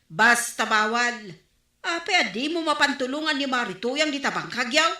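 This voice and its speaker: A woman with an Ilocano accent playing a bossy, blunt neighborhood storekeeper, forceful and disapproving, in a radio comedy drama. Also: sits high, animated, normal speed, roomy sound.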